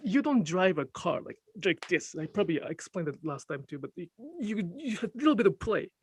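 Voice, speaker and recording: A man with a Japanese accent, a native Japanese speaker, talking about stitching in a casual group conversation, pausing to find words and often laughing. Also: sits high, sing-song, slightly rough, no room sound. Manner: thoughtful, pauses to search for English words, laughs often. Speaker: sashiko teacher.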